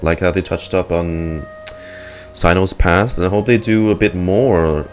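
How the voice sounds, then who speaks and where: A man's voice: deep voice, fairly even intonation, a little slow, soft background music. A man giving casual reaction commentary as a gaming streamer, musing informally and picking his words as he goes.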